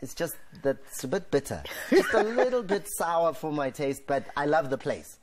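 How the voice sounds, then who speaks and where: A man's voice: a little high, very animated, normal speed, noisy background. A man hosting a TV show, interviewing casually in a playful, exclamatory manner. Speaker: TV show host.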